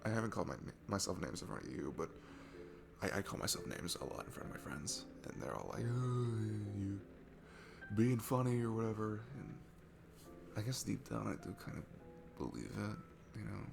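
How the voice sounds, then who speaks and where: A man's voice: lowish voice, lively intonation, normal speed, vocal fry, music in the background. A young man playing a shy, nervous, sweet guy at a bar in an ASMR roleplay, talking in a hesitant, rambling, self-deprecating way. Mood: shy, soft. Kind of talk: ASMR roleplay, one-sided intimate conversation.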